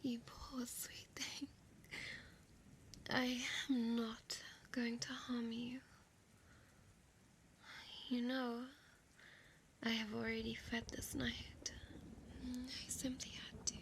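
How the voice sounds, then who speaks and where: A woman's voice: fairly high pitch, level tone, slight background noise. Woman playing a whispering, possessive female vampire. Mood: fond, reassuring. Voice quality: breathy whisper. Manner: slow, intimate, coaxing, formal old-fashioned phrasing. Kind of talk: ASMR audio roleplay.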